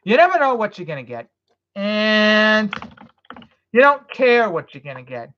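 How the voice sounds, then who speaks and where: A woman's voice: mid-range voice, sing-song, a little slow, fairly bright tone, slight background noise. Woman streamer, casual rambling chat, profane and loose, dull lo-fi audio. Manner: rambling, swearing, loose after beers. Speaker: live streamer.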